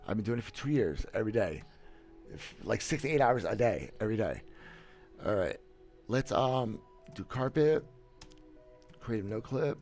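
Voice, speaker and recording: Low-voiced guy on a headset, cocky and blunt, keeps restarting, voice jumps around a lot, bit of music under it. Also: expressive, moderate pace, long pauses, a little mellow. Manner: boastful, blunt, many restarts and stammers. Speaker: gaming streamer. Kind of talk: casual livestream commentary. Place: small room, seated in gaming chair. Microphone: headset, webcam framing.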